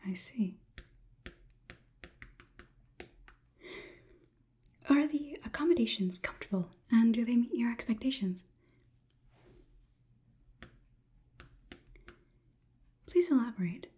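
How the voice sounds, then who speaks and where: Woman acting a breathy, whispering robot overlord. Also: fairly high pitch, animated, dark tone. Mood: polite, probing. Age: 20s. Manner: slow, measured, formally polite, faintly condescending. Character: polite, condescending female robot overlord examining humans. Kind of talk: whispered ASMR roleplay. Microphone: close sensitive microphone.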